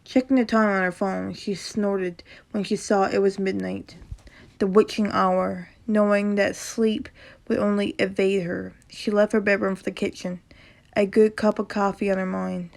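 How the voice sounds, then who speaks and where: A woman with a sore throat and a slight rasp, casually reading a horror story aloud, often stumbling over words and correcting herself. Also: mid-range voice, flat delivery, normal speed, a little mellow. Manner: reacts casually between passages.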